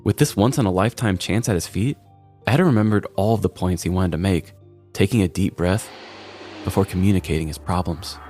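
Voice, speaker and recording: A man's voice: deep voice, fairly quick, darkish tone, faint music behind. A man reading a scripted comic story as a podcast storyteller.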